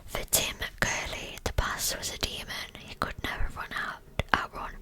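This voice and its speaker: A woman speaks entirely in a breathy whisper, with no voiced tone. She reads a scripted true-crime narration in an ASMR style, softly and deliberately, taking her time over each phrase.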